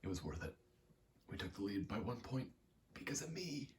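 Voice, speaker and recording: A young man in a casual, halting ASMR ramble, speaking softly and often slipping toward a whisper, with a self-deprecating, sweary manner. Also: lowish voice, sing-song, slight background noise. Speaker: ASMR creator. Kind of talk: rambling ASMR, casual personal chat while gaming.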